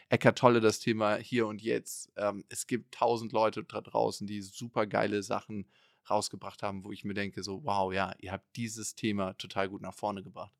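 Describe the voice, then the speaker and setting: Man, low gravelly voice, relaxed loose podcast talk, dry close mic. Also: no room sound. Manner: relaxed, talks in long loose trains of thought with many fillers. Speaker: podcast guest and entrepreneur. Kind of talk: casual podcast conversation.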